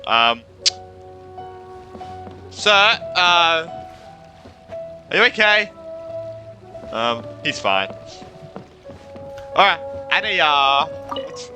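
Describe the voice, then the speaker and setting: A man's voice: a little high, very animated, extremely slow, pauses often. A man playing a video game, chatting casually and talking back to the characters. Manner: reacts aloud, talks back to characters. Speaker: gamer commenting on gameplay. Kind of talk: casual let's play chat. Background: game music.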